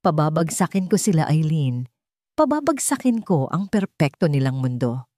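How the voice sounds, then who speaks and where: A woman's voice: low-pitched, very animated, moderate pace, mellow, no room sound. Audio-story villainess acted by a female narrator: impatient, menacing schemer, curt delivery, Filipino accent, dry sound. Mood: scheming, menacing.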